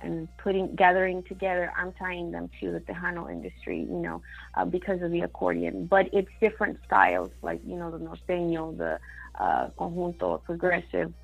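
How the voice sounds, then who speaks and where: A woman's voice: lowish voice, expressive, moderate pace, creaky, muffled recording, faint music behind. A woman from Mexico, a singer-songwriter, talking casually in an interview, with many fillers and Spanish words mixed in.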